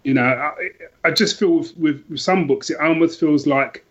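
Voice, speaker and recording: A man's voice: a little high, normal speed, a bit bright, highs cut off. A man talking casually and enthusiastically about books as a podcast guest, emphatic and often restarting his sentences. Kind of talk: casual book chat.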